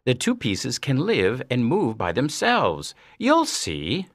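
A man's voice: medium pitch, huge pitch swings, slow, no echo, studio quality, full character voice. Swooping, teasing male science teacher played by an actor. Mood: friendly, instructive. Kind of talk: dramatized audiobook dialogue.

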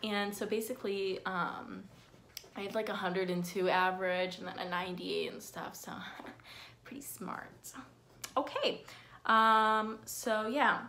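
A woman's voice: mid-range voice, measured pace, bright, forceful tone, slight background noise. A teenage girl talks straight into her phone camera from a small bedroom, her voice close and unpolished. She rambles from one thought to the next, leaning on fillers and dry self-deprecating jokes. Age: teens. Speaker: vlogger. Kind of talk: casual facts vlog. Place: bedroom with window blinds, indoors. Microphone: phone camera at close range.

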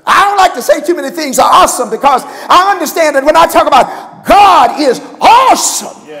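Older male preacher. Very high, gravelly delivery, impassioned and emphatic, in a reverberant church hall. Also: a bit bright. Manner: impassioned, emphatic, with repeated calls to the congregation. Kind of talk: sermon. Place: large church sanctuary, at a pulpit.

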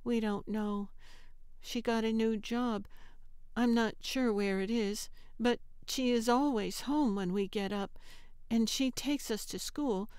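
Woman voicing an earnest, higher-pitched little girl. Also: slow, a little mellow, clear, resonant tone, no echo. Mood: guarded, explaining. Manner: hesitant, earnest. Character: earnest, guarded eight-year-old girl. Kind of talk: audiobook character acting.

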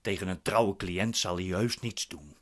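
A man's voice: deep voice, measured pace. An actor playing a suspicious, impatient man with a curt, questioning manner in a radio drama. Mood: inquisitive. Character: suspicious, impatient, commanding male defendant.

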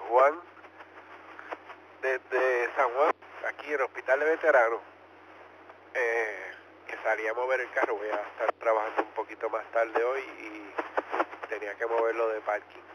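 Male ham operator, Puerto Rican accent, mid-pitched, calling in through loud radio noise. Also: fairly even intonation, a little slow, long pauses. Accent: Puerto Rican Spanish. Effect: two-way radio transmission. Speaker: amateur radio operator checking in. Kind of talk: radio check-in. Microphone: two-way radio.

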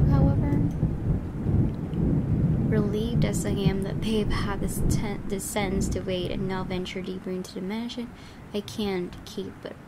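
A woman's voice: medium pitch, heavy background noise. A woman hosting a let's play, reading game narration aloud as dramatic storytelling, with asides. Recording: loud game ambience behind the voice.

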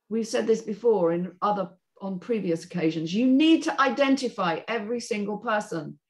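Female panel host, middle-aged, emphatic and direct, talking through feedback on a video call. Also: medium pitch. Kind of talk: informal spoken critique. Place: room at home on a video call. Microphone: video call computer microphone.

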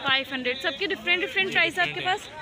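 Woman vlogger, Pakistani Urdu accent, high voice, chatty, noisy crowd behind. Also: very fast. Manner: chatty, asks sellers prices. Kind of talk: market tour vlog. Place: busy outdoor street market. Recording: crowded outdoor market noise. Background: crowd chatter and traffic.

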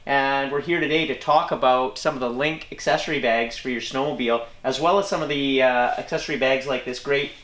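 A man's voice: medium pitch, brisk, hardly any pauses, a bit bright. Male presenter, 40s, casual and upbeat product demo, lots of fillers, roomy indoor sound. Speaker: snowmobile gear dealer presenter. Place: indoor showroom beside a snowmobile. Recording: echoey indoor room.

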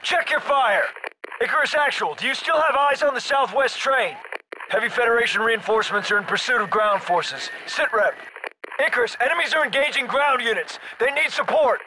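An actor playing a gruff, commanding middle-aged special forces soldier in a military shooter game, giving clipped, urgent orders. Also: male voice, extremely high pitch, moderate pace, noisy background.

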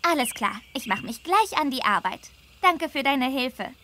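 Cheerful young girl, high voice, enthusiastic, polite, game dialogue, noisy background. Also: expressive, moderate pace. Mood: eager. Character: eager, cheerful young girl on riding holiday. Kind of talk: scripted video game dialogue.

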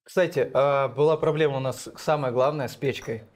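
A man's voice: mid-range voice, moderate pace. A young adult man chatting casually at a dinner table as a contestant. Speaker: dating show contestant. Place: indoor dining room.

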